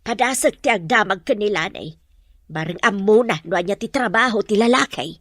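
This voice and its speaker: A woman's voice: mid-range voice, moderate pace, a bit bright, close to an everyday voice. A woman playing a blunt, sceptical mother who scolds and gossips in a radio drama. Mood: scolding, gossiping. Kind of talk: Ilocano radio drama acting.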